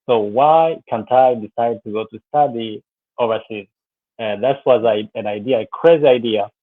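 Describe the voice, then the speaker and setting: A man's voice: medium pitch, moderate pace, no room sound. Earnest guest in his thirties from Rwanda, man, dry thin phone-like sound, restarts a lot. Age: 30s. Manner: earnest, many fillers and restarts, speaks of faith. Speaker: guest, business coach. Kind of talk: live interview, personal story. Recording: tinny phone-like line, dry.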